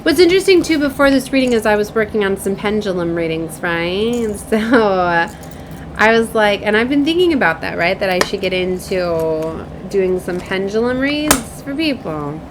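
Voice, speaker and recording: A woman's voice: medium pitch, expressive, moderate pace, noisy background. A woman doing a spiritual oracle card reading, moving between reading aloud and rambling, informal asides. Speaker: tarot and oracle card reader. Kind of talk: spiritual card reading, part read aloud, part casual chat.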